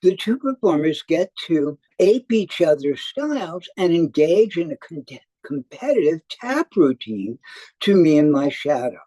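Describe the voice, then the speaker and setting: Give me a male theater critic reading his review slowly and deliberately, with a very animated pitch. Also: dry recording, rough recording. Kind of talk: scripted review read aloud.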